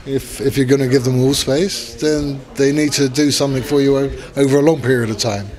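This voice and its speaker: A man's voice: mid-range voice, fairly even intonation, fairly quick, a little mellow. A male painter talking earnestly about his paintings, stumbling over his words at first. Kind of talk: interview answers.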